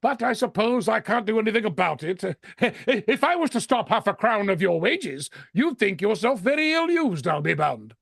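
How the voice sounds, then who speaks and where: A man's voice: high-pitched, big pitch swings, normal speed, gravelly, hardly any pauses, a bit bright, no echo, studio quality, full character voice. A man playing a grumpy, bullying old miser in an old-time radio drama, barking and grumbling, easily rattled into stammering. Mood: irritable, scornful.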